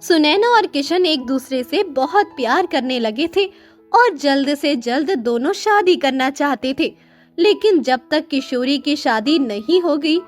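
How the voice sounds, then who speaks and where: High-pitched woman narrating a moral story.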